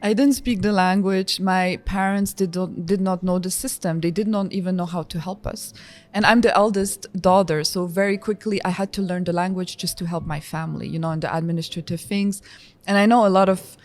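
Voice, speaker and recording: A woman who was born in Georgia and raised in France, speaking as a podcast guest in a thoughtful, measured way with frequent fillers. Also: lowish voice, fairly even intonation. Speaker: guest, executive director of a nonprofit. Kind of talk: podcast interview.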